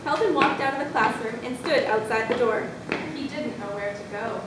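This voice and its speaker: A woman's voice: moderate pace, far from the mic. A woman narrator telling a scripted school story as part of a readers theatre performance. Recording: echoey room from afar, rumbling background noise.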